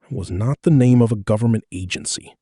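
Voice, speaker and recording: A man's voice: on the low side, fairly quick, dark tone, slightly rough, dry recording, clean studio sound. A man with a Canadian accent reading a first-person story as scripted audiobook narration.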